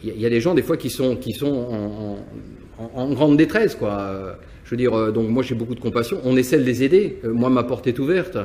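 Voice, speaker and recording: A man's voice: mid-range voice, brisk, a little mellow, a little hiss or hum. A man from Nantes who runs a company, answering interview questions hesitantly with many restarts and repeated words. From France, Nantes. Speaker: business owner. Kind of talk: interview answers, personal reflection.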